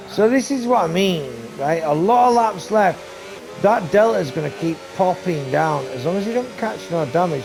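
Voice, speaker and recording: A man giving live commentary on a sim race, talking to viewers and losing his voice, with race car engines loud behind him. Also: gravelly. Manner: excitable, talks to viewers, rising to high bursts on action. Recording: noisy stream with engine sound. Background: race car engine noise.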